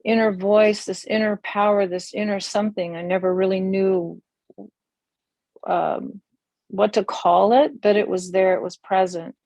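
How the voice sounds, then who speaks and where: An older woman and community elder, speaking reflectively on a video call from a room that looks onto a forest, with many pauses and restarts. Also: medium pitch, steady tone, darkish tone, no room sound. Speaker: interview guest, community elder.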